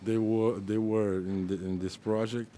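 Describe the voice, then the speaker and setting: Slow, halting Brazilian man. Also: on the low side, flat delivery, warm tone. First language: Portuguese. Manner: halting, many restarts, searching for English words. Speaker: musician being interviewed. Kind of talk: casual interview.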